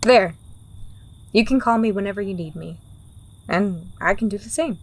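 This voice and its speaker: A woman's voice: mid-range voice, moderate pace, a little hiss or hum, natural voice. A woman playing a world-weary, pragmatic underworld operative in a fantasy audio drama, blunt and wry with a teasing edge.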